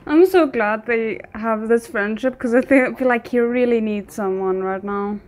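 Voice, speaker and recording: Woman doing a casual reaction vid, kinda high voice, thinks out loud, says like a lot. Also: normal speed. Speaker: reaction video host.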